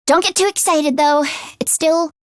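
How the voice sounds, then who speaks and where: A woman voicing an anxious, earnest teenage girl mechanic in a video game, with an expressive, hesitant delivery. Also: sits high, moderate pace, dry recording, studio quality, natural voice. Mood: cheerful, encouraging. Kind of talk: English video game voice acting, isolated voice lines.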